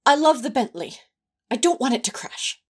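A woman's voice: high-pitched, lively intonation, moderate pace, husky, full character voice. A woman playing an earnest, easily flustered male angel in an audio fan fiction drama, prim and protesting.

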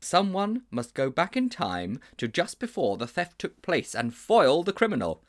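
A man's voice: a little high, huge pitch swings, unhurried, pitched above the performer's own voice, heavily acted. A man voicing a pompous, indignant schoolboy in a storybook, speaking in grand, formal, emphatic phrases.

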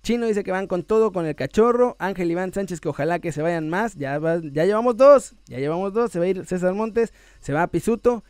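A man's voice: high-pitched, darkish tone, smooth, no room sound. A man with a Mexican accent hosting a sports news channel, talking casually and playfully to viewers as he reads out messages, stretching words for emphasis. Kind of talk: casual chat to viewers, reading out messages and shout-outs.

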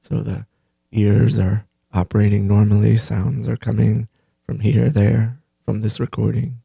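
Male meditation teacher: very soft dark tone, breathy, unhurried, gently pausing, close dry sound. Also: on the low side, level tone, no room sound. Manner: gentle, unhurried, pausing between short phrases. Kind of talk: guided meditation.

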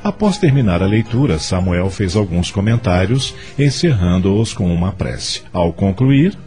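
A man's voice: low voice, big pitch swings, a little slow, dark tone. A man with a Brazilian accent narrating a scripted radio drama as storyteller. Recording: music under the voice.

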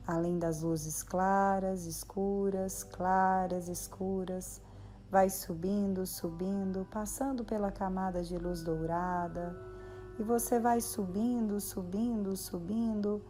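A Brazilian woman leading a guided meditation in a soothing, measured way with long pauses. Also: mid-range voice, mellow. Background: background music.

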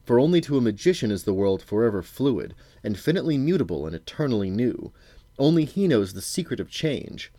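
A man's voice: mid-range voice, sing-song, a little fast, dark tone, no echo, pitched above the performer's own voice. A man voicing an eager, desperate magician in a storybook, with a florid, theatrical, declamatory manner. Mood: grandly persuasive.

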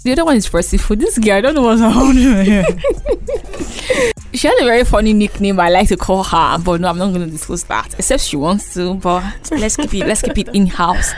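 A woman's voice: mid-range voice, animated, fairly quick, soft background music. A woman with a Nigerian accent hosting an upbeat, casual podcast chat in a playful, teasing, exclamatory way. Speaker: podcast host.

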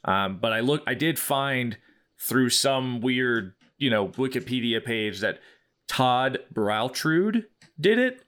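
A man hosting a casual music podcast, asking questions haltingly with many fillers and restarts. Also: mid-range voice, lively intonation, a bit bright. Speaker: podcast host. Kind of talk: casual interview chat.

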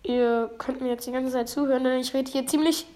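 High-voiced woman, halting game commentary. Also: steady tone, mellow. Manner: halting, apologetic, loses her words. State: feeling under the weather. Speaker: let's play gamer. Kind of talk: casual gameplay commentary.

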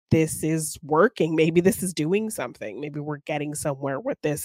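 A woman's voice: low voice, darkish tone, dry recording. A woman co-hosting a podcast, casually talking through a film in a hesitant, thinking-aloud way. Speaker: podcast co-host.

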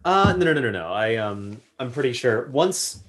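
A man's voice: mid-range voice, sing-song, fairly bright tone. A young man co-hosting a podcast, easygoing and practical in casual chat. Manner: easygoing, practical, quick laugh. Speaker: podcast co-host.

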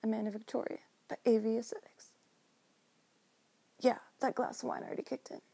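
A young woman in her twenties, an esthetician hosting a casual solo podcast, with a nasal voice and a slow, drawn-out way of talking. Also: a little high, little pitch movement, gravelly, mellow, slightly rough.

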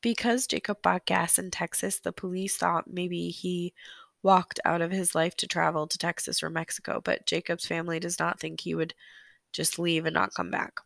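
A sick, hoarse woman hosting a solo true-crime podcast, chatty and apologetic, filling pauses with ums. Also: fairly low pitch, level tone, a bit bright, no echo. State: sick, hoarse, losing her voice. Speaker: podcast host. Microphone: close podcast microphone.

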